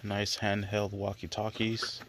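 A man's voice: lowish voice, monotone, measured pace. A man, a dad, casually narrating an unboxing review in a laid-back, plain-spoken way in a bedroom. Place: bedroom, indoors. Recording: noisy handheld home recording.

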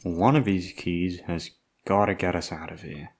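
A man playing a tough, protective, foul-mouthed bodyguard with a husky voice in an ASMR audio roleplay. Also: low-pitched, fairly even intonation, moderate pace. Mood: gentle, weary. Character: tough protective male bodyguard, foul-mouthed, tender toward his charge.

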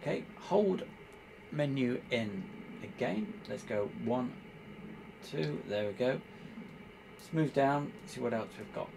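A man giving an informal hands-on tutorial, explaining step by step in a halting, hesitant way. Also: mid-range voice, animated, gravelly, background noise. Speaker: presenter demonstrating radio equipment.

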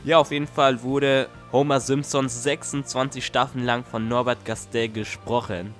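A man's voice: medium pitch, little pitch movement, moderate pace. A young man hosting a casual, flippant video commentary. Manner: flippant, jokey. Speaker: YouTube host. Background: background music with guitar.

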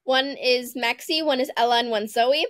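A woman's voice: fairly high pitch, normal speed, no echo. Chatty teenage girl, mixed English accent. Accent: mixed international English. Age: teens. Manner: chatty, laughs often. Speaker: guest. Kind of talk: casual interview. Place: home room. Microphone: video call.

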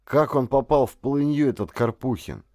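A man's voice: mid-range voice, expressive, normal speed, a little mellow, dry recording, clean studio sound, natural voice. A man voicing a stern, learned father in an audiobook, reading aloud in a grave, emphatic way. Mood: brooding.

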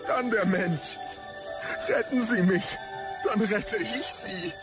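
A woman's voice: medium pitch, slow pace, natural voice. A woman playing a solemn tree pleading for rescue in an audio drama advert. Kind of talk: slow scripted advert appeal. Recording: loud music over the voice.

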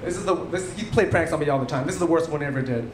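Man in his thirties, stand-up comic, high lively voice, handheld mic, live club audience noise. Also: rapid-fire, low-quality recording. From Canada. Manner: animated, punchy delivery with dry asides. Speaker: stand-up comedian. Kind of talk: stand-up set. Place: theatre stage before a live audience. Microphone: handheld stage mic. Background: audience laughter.

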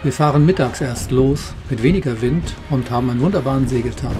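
A middle-aged man narrates as a skipper telling of his trip, with a mid-pitched voice. His delivery moves between written travelogue and off-the-cuff recollection. Music plays clearly behind him. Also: fairly quick. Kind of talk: travel narration, partly scripted, partly spontaneous recollection.

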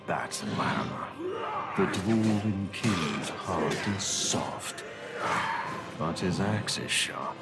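An actor playing a gloating, scheming villain who covets a magic shard, in a game cutscene. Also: male voice, low voice, expressive, extremely slow, a loud crowd, full character voice. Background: loud orchestral music.

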